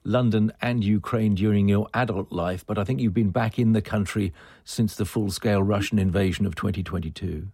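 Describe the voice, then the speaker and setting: Husky low-voiced male radio presenter. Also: steady tone, mellow, dry recording, clean studio sound. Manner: measured, formal broadcast delivery. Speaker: news programme host. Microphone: studio microphone.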